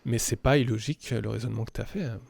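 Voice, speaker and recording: A man improvising narration as game master of a tabletop horror game, halting and pausing often between phrases. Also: mid-range voice, creaky, a little mellow, no room sound.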